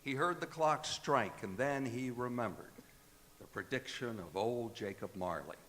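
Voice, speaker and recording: A man playing the narrator of a Victorian Christmas radio drama, with measured, dramatic delivery. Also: medium pitch, slight background noise, close to an everyday voice. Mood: storytelling. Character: storyteller of a Victorian Christmas tale. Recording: loud music under the voice.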